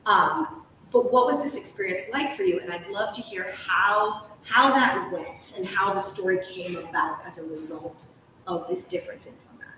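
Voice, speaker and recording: An American woman interviews in a casual, enthusiastic style, talking at length and working out her thoughts aloud. Her medium-pitched voice sounds far from the microphone in a strongly reverberant room. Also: lively intonation, moderate pace, sharp tone. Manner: enthusiastic, wordy, thinking aloud. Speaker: host, interviewer. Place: large hotel sitting room, live stream. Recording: very echoey, distant, background noise.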